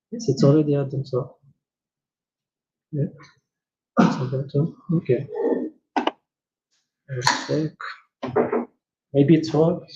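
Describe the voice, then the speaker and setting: A man's voice: a little high, mellow, distant mic. Echoey man presenting in a hall. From Tunisia. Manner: hesitant, many fillers and restarts, non-native phrasing. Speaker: conference speaker. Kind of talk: technical conference presentation. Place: conference room, large hall. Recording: echoey room mic from afar, dull.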